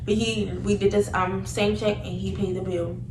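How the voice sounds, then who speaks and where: A young woman chatting casually as she tells a story, animated, slangy and repetitive in her retelling. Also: mid-range voice, normal speed. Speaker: vlogger telling a story. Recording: roomy, distant mic, noticeable background noise.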